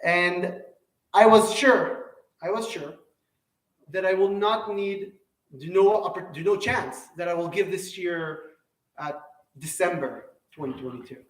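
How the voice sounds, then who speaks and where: A man's voice: high-pitched, measured pace, fairly bright tone. Earnest man teaching in an echoey hall. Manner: earnest, repeats and restarts phrases. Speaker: Torah teacher. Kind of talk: Torah lecture to a live audience. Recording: echoey room, mic at a distance.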